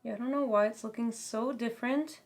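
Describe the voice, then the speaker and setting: Slightly raspy woman chatting through makeup. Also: on the high side, fairly even intonation, a little fast, faint music behind. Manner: chatty, casual commentary while applying makeup. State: raspy voice from talking too much. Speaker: beauty vlogger. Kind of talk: makeup try-on and review. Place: home beauty room, indoors.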